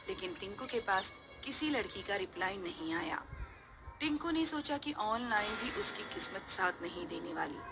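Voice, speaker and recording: Casting: female horror-story narrator, medium pitch, scripted storytelling, music underneath.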